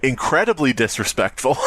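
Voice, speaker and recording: A man's voice: fairly high pitch, pushed and bright, husky, no room sound. A man co-hosting a podcast with casual comedic banter and a wisecracking way of talking. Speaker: podcast co-host.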